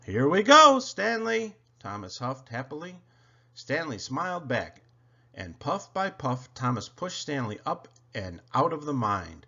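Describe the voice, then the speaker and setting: A man reading a children's picture book aloud in an expressive, emphatic storytelling way. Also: medium pitch, measured pace, breathy edge. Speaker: storybook reader. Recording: dull, lo-fi home recording.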